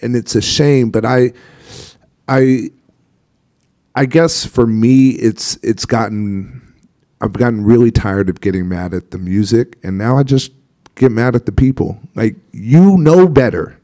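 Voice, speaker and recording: A man on a discussion panel speaks with a warm, mellow voice. He thinks aloud, hesitating often and circling back before landing his points. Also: medium pitch, unhurried. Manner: reflective, hesitant, many fillers.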